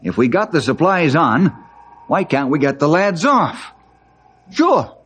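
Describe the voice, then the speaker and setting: A man playing a decisive, heroic outdoorsman who leads mountain rescues in an old-time radio adventure, brisk and earnest. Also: a little high, sing-song, fairly bright tone. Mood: brisk, commanding. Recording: very noisy old radio recording.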